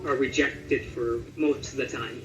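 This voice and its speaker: A man's voice: medium pitch, fairly even intonation, unhurried, highs cut off, background noise. A man giving a casual interview as a voice actor, talking conversationally and thinking aloud with some hesitation. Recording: roomy echo with music underneath.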